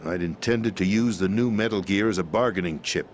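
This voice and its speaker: An American actor playing a bitter, ambitious middle-aged president in a video game, theatrical, world-weary and cynical. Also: male voice, low-pitched, fairly quick, a little hiss or hum, lightly acted. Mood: wistful, bitter. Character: bitter, ambitious male president turned power-hungry conspirator. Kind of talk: dramatic video game voice acting. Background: music.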